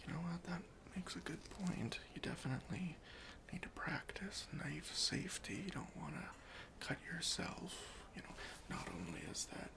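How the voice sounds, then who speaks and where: A man playing an officious but easily persuaded airport security officer in a whispered, deliberate ASMR roleplay, questioning with mock-stern politeness. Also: on the high side, very animated, voice pushed up. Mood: agreeable, musing. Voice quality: whispery, breathy.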